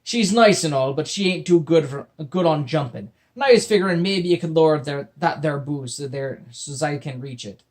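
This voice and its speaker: A man voicing a short, grumpy male pirate with a wooden leg, speaking in a gruff, drawling pirate dialect. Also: fairly high pitch, normal speed, few pauses, lightly acted.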